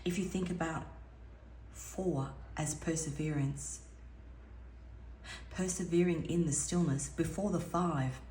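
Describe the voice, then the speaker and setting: A woman with a raspy voice giving an earnest, meandering spiritual card reading at home as an intuitive tarot reader. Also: sits low, takes it slowly, long pauses, warm tone. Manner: meandering, earnest, with frequent restarts. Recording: echoey room with faint background noise.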